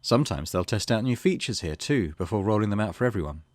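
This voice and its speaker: A man's voice: on the low side, animated, fast, darkish tone, dry recording, lightly acted. A man voicing an earnest, plain-spoken female manager, reading her interview quotes from a visual novel.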